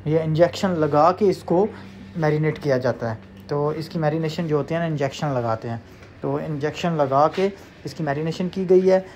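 A man's voice: on the high side, normal speed, slight background noise. A man casually narrating a food vlog, upbeat and chatty, repeating his praise and talking straight to his viewers. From South Asia. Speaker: food vlogger. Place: busy shopping mall food court, indoors. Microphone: phone camera held in hand. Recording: muffled phone audio, loud mall crowd.

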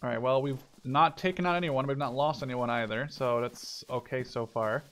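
A man's voice: medium pitch, moderate pace, a bit bright. A man hosting a gaming video, commenting casually on gameplay and thinking aloud. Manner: thinks aloud, mild swearing. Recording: gunfire and clicks behind voice. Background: video game gunfire.

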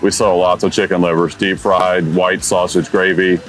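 Man, 40s, deep voice, restaurant owner, casual food chat, lapel mic, music bed. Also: little pitch movement, moderate pace. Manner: easygoing, enthusiastic, fillers like "you know". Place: restaurant dining room, indoors. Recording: music playing underneath.

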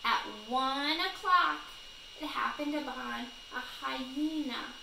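Slow, high-voiced woman reading to children. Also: sharp tone. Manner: deliberate, with stressed key words. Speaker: read-aloud teacher. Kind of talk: children's storybook read-aloud. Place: small room at home, indoors. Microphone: camera or phone a short distance away. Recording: roomy, clearly noisy home recording.

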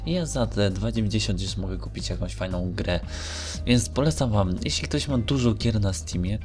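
A man's voice: fairly low pitch, expressive, moderate pace. A young man giving casual, unscripted gaming commentary in a hesitant, rambling way, often correcting himself. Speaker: gaming vlogger. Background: music.

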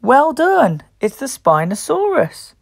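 A woman reading a picture book aloud to young children as host, expressive, playful and encouraging. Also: sits high, takes it slowly, vocal fry, no room sound, studio quality. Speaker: children's storybook reader and host.

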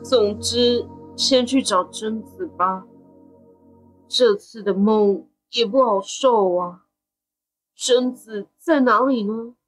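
A woman's voice: fairly high pitch, takes it slowly, faint music behind, low-quality recording. A woman voicing an earnest, determined young boy who worries for his older brother, in amateur audio drama style. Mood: frustrated musing.

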